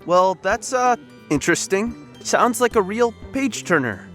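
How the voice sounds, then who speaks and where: An actor playing a cocky, playful young space biker and best friend in an audio drama, brash, teasing and theatrical. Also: male voice, high-pitched, lively intonation, measured pace, fairly bright tone, soft background music. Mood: impatient.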